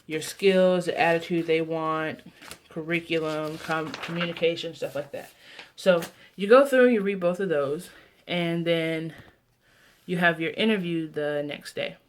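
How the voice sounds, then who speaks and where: A woman's voice: sits low, steady tone, unhurried, a little hiss or hum. A woman in her twenties with an American accent, chatting casually as a video blogger, rambling and often breaking off mid-thought to restart. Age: 20s. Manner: rambling, self-interrupting, trailing off. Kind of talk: casual vlog chat.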